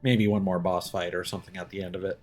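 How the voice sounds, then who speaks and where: A man streaming a video game with a fairly low voice. He rambles casually as he thinks aloud, with faint music playing underneath. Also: level tone, quick pace, a little mellow. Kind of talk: casual live gameplay commentary.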